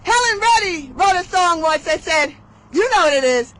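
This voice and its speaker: High, bright woman rallying a crowd. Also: lively intonation, moderate pace. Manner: rhythmic, emphatic, rhyming preacher-like delivery. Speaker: rally speaker. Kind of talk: motivational rally speech with call-and-response. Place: outdoor rally.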